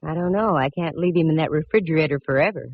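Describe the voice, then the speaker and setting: An actress playing a sharp-witted, wisecracking female private detective in a vintage radio drama, wry and no-nonsense. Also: deep voice, fast talker. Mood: dry. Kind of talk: 1940s-50s radio detective drama acting and narration. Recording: muffled, noisy vintage radio recording.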